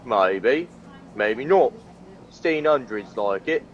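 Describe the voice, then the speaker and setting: An actor playing an evasive, offhand small-town post office clerk in an audio crime drama. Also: male voice, medium pitch, expressive, takes it slowly, dull audio. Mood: cagey.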